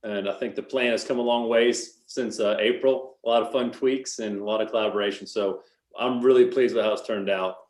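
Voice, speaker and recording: A man's voice: lowish voice, steady tone, brisk. A man presenting at a virtual meeting, agreeable and deferential, in informal business speech. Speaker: project presenter. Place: virtual meeting call, echoey room. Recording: echoey room on call.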